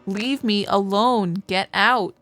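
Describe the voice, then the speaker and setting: A woman's voice: medium pitch, slow, fairly bright tone, no room sound, faint music behind. A woman playing an indignant, defiant young wife in a visual novel, with dramatic, emphatic delivery. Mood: angry, outraged.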